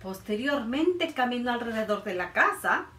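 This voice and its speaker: A woman in her thirties reading a picture book aloud to children, expressive and sing-song. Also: a little high, normal speed. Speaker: children's librarian storyteller. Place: indoor room by a felt board. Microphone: camera a few feet away. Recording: roomy sound from a distance.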